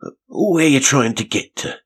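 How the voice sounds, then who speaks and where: A man reading aloud, voicing a shabby, nervous little male caretaker with a husky voice and rough grammar. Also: medium pitch, lively intonation, measured pace, pitched above the performer's own voice. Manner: nervous, working-class grammar.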